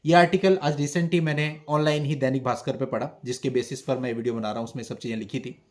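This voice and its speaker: A man's voice: mid-range voice, steady tone, fast talker. A man giving explanatory commentary on a legal case, speaking emphatically with rhetorical questions and mixed-in English terms. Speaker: host of a legal issues video channel.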